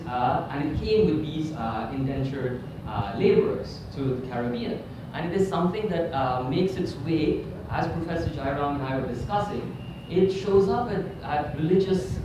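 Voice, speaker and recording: A middle-aged man from Guyana giving a conference lecture, halting with many ums and restarts. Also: fairly high pitch, noisy background. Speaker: guest lecturer. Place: hotel conference hall. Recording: echoey hall, mic at a distance.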